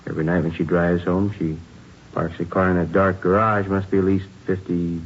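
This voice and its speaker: A man's voice: level tone, brisk, lightly acted. A man playing a plain-spoken, puzzled police detective in a radio drama, with a casual, questioning manner. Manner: casual, questioning, low-key. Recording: muffled, noisy old radio recording.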